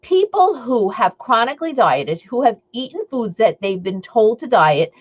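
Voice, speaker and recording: A woman's voice: fairly high pitch, big pitch swings, normal speed, husky, dull audio. A woman with a Long Island accent hosting a live stream as an eating coach, chatting upbeat and rambling with lots of fillers and asides to her viewers. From Long Island, New York.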